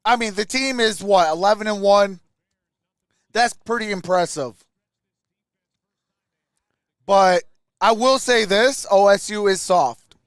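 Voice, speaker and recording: A man with a very high-pitched voice hosts a sports livestream. He takes his time, calling plays and chatting in short reactions. The sound is close and dry. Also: slow, long pauses, smooth, no echo. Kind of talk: live reaction play-by-play and chat.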